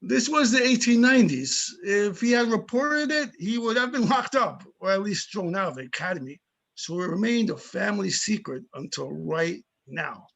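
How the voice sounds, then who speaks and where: A man playing a tough but amicable older police chief in an amateur readers theater, plainspoken and opinionated, with a husky voice. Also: high voice, lively intonation, normal speed, fairly bright tone, heavily acted. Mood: matter-of-fact. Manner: plainspoken, opinionated, small-town storytelling. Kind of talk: amateur readers theater reading over videoconference.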